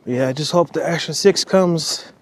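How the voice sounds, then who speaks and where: A man's voice: fairly high pitch, normal speed, slight background noise. Casual man on a night walk. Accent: slight Minnesotan. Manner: rambling, relaxed, swears casually. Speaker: vlogger. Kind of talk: casual walking vlog.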